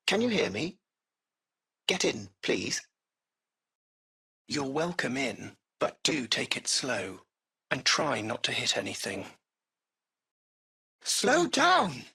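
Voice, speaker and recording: An artificial-intelligence car driver from a video game. The voice is rough and breathy with a gravelly creak, and it swings widely in pitch. The phrasing is formal and courteous, yet hesitant and anxious, taken at an unhurried pace. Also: male voice, wildly swooping pitch, bright, forceful tone, heavily acted. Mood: nervous. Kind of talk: acted video game dialogue.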